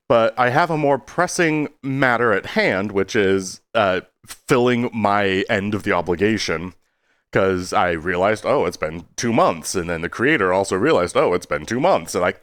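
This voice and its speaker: A man's voice: medium pitch, fairly bright tone, dry recording. A man giving a casual, rambling video update in a self-deprecating way, stopping and starting and slipping into exaggerated tones.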